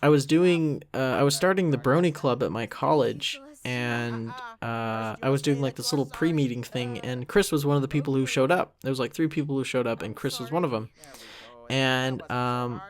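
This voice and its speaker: A man's voice: medium pitch, normal speed. A young man giving a casual commentary track in a rambling, self-critical way, often trailing off mid-thought. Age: young adult. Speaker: creator of an animated parody series.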